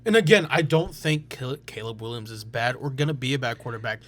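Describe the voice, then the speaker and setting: A man's voice: mid-range voice, a little fast. A young man co-hosting a sports podcast, arguing his picks in assertive bursts. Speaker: podcast co-host. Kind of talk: casual sports debate.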